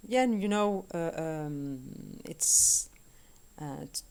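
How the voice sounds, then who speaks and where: Slow, low-voiced woman, halting and thoughtful. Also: lively intonation, a little mellow, smooth. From France. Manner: halting, searches for words, laughs often. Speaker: guest vocalist. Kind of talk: casual conversation.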